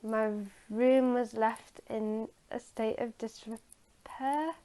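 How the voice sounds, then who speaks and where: A woman retelling a story from memory in a halting, hesitant way, searching for her words. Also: fairly high pitch, fairly even intonation, mellow, clear, resonant tone.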